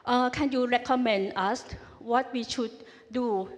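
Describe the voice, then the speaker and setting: A woman's voice: a little high, fairly even intonation. Woman, audience questioner, hesitant English, long hall reverb. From Thailand. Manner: halting, many restarts and fillers. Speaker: audience member asking a question. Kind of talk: question at a forum. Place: large conference hall.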